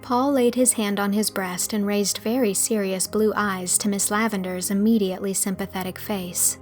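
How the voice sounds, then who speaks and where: A woman's voice: medium pitch, steady tone, normal speed, soft background music. A woman reading scripted storybook narration as the narrator of an audiobook.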